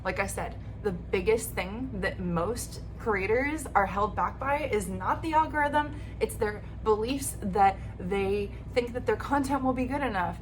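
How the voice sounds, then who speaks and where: A woman's voice: mid-range voice, measured pace, hardly any pauses, a little hiss or hum, low-quality recording. A woman in her thirties giving upbeat, encouraging advice on a vlog, speaking straight to the viewer and losing her voice a little. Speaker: YouTube creator.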